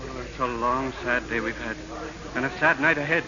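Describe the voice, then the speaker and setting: A man's voice: medium pitch, brisk, lightly acted. A man playing a kindly, weary, fatherly parish priest in a 1940s radio drama. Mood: gentle, sorrowful. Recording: reverberant, muffled old radio, crowd behind.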